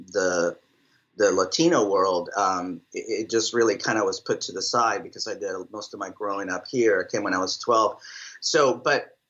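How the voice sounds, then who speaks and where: Salvadoran-born guy in his 50s rambling thru an interview, low bright voice, lots of ums, kinda dull lo-fi sound. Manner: rambling, many fillers and restarts. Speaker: drummer, interview guest. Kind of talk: casual interview answers.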